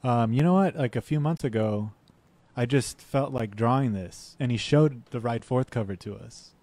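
A man chatting on a podcast as a band guest, telling stories in a lively way and acting out what people said, at a desk mic in a small studio. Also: mid-range voice, normal speed. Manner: animated storytelling, acts out quotes. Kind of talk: casual podcast chat. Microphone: desk mic with headphones.

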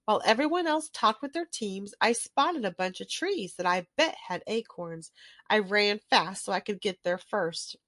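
A woman reading a children's storybook aloud in an expressive storytelling manner. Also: mid-range voice, normal speed, no room sound. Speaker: children's book reader. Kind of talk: scripted storybook read-aloud.

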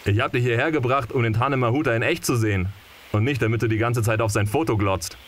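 A man playing a guarded, gruff guide in an audio drama, with a casual, brusque manner. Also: medium pitch, fast talker, a little hiss or hum, natural voice. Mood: mildly exasperated.

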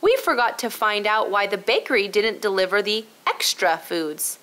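A woman's voice: medium pitch, sing-song, moderate pace, fairly bright tone. A woman voicing a friendly, encouraging female shopkeeper in a children's storybook. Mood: agreeing. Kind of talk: children's storybook read-aloud.

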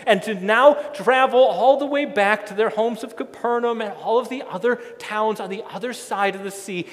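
A middle-aged man preaching a sermon as a pastor, emphatic and repeating phrases as he swells and falls in volume. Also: high voice. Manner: emphatic, repetitive phrasing, swelling loud then dropping soft. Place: large church sanctuary, indoors. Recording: long church reverb.

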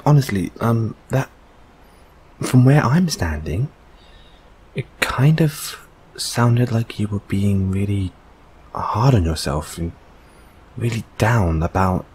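A man playing a gentle, reassuring boyfriend in an intimate comfort audio roleplay, speaking softly and hesitantly. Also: lowish voice, slight background noise, poor audio. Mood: concerned. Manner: soft, hesitant, soothing, unhurried.

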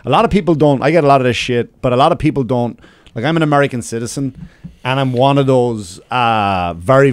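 Male comedian, Irish accent, quick and sweary, emphatic podcast chat, dry close-mic sound. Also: mid-range voice, a little mellow, dry recording. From Ireland. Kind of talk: casual podcast storytelling. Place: small podcast studio. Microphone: close studio microphone with headphones.

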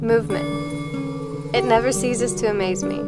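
A woman reading a calm, reflective voice-over narration. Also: fairly high pitch, measured pace. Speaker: vlog narrator. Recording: voice-over with loud music.

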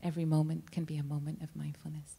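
A man, a monk, calmly answering audience questions at a cinema Q&A, unhurried and with frequent pauses and fillers. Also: fairly high pitch, creaky, breathy edge. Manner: calm, unhurried, with many ums and uhs. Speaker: monk at a practice center. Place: cinema stage at a Q&A. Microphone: handheld mic.

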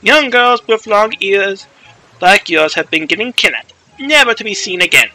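A man voicing a stern, smug bird-man who lectures deliberately and sarcastically in a video game story. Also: high voice, animated, pushed and bright, full character voice, poor audio. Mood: stern, sarcastic.

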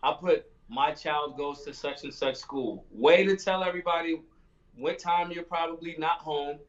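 A man's voice: fairly high pitch, moderate pace. A man in his forties, a guest on a video call, talking emphatically and acting out quoted exchanges. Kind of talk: casual video-call chat. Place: small room indoors. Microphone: video call webcam. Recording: muffled distant video-call audio.

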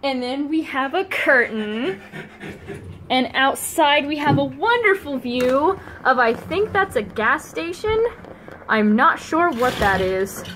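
A young woman vlogging in a bubbly, giggly, excitable way, filming herself on a phone held at arm's length in a car and a small hotel room. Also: sits high, slow, slight background noise. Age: young adult. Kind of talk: casual vlog chat.

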